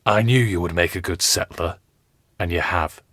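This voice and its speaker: A man voicing a gravely injured colonial captain who speaks in a strained, hoarse voice, giving slow, weighty pronouncements. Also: deep voice, fairly bright tone, dry recording, clean studio sound.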